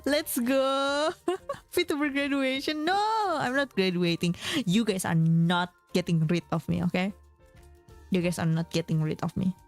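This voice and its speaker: Slow, rambling young woman streamer. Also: medium pitch, faint music behind. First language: not English. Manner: chatty and rambling, reads chat aloud, laughs often. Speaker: vtuber streamer. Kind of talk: casual chat with viewers.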